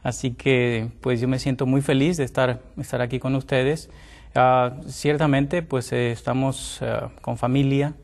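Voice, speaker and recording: A middle-aged man from Mexico, a naturopathic doctor and former pastor, speaking politely as a TV guest with many fillers and pauses. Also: mid-range voice, steady tone, vocal fry, a little mellow. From Tamaulipas, Mexico. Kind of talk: TV interview guest.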